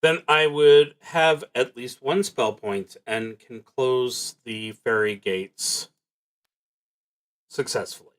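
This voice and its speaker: A man thinking aloud in a halting, deliberating way as he streams a solo tabletop game. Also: medium pitch.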